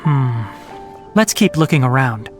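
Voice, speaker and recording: A man voicing a composed, analytical, slightly proud young male scholar in a video game, in a measured, matter-of-fact way. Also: medium pitch, animated, over music, natural voice. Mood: pensive. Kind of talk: video game character acting.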